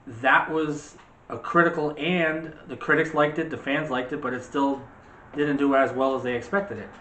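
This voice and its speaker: A man's voice: a little high, fairly even intonation, moderate pace, sharp tone. Guy cohosting a movie chat, rambly and kinda argumentative, roomy echo, bit of background noise. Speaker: co-host of a movie discussion show. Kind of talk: casual opinionated movie chat. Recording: echoey room with faint background noise.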